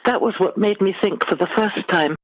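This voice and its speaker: A middle-aged British woman, an author giving measured, formally phrased answers in a literary interview. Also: medium pitch, no echo, highs cut off. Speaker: guest author.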